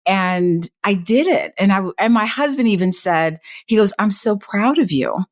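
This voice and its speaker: A woman's voice: mid-range voice, expressive, normal speed. A middle-aged woman from the United States talking casually as a guest on a podcast.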